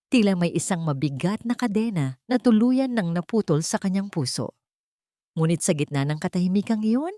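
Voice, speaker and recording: A woman's voice: lowish voice, dark tone, dry recording, clean studio sound. A woman reading scripted, dramatic narration as a storyteller.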